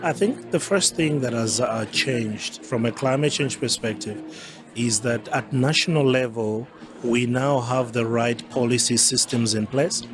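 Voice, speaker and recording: A man in his forties from Zambia with a Southern African accent gives measured, formal answers as an interview guest. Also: medium pitch. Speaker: interview guest, climate change adaptation advisor. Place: busy festival venue. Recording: crowd chatter behind the voice.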